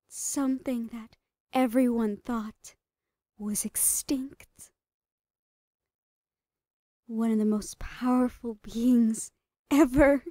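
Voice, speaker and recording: A woman's voice: high voice, warm tone, dry recording, studio quality. A woman playing a psychotic female doctor who dotes obsessively on a captive, cooing and slipping into whispers in a horror roleplay.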